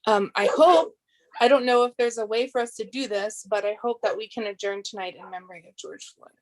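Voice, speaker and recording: A woman's voice: fairly high pitch, fairly quick. A woman serving as a school board member, giving earnest remarks at a public meeting.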